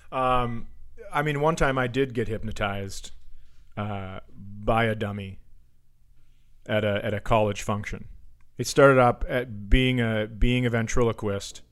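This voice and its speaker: A man's voice: lowish voice, fairly even intonation, a little slow. A man in casual comic banter, telling anecdotes in a rambling way and often interrupting himself. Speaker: comedy podcast host.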